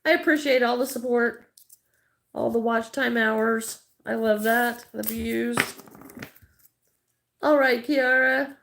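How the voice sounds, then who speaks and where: A woman's voice: a little high, fairly even intonation, takes it slowly, gravelly, pauses often, fairly bright tone. A middle-aged Midwestern American woman chatting casually on a crochet livestream, greeting viewers by name and thinking aloud as she works.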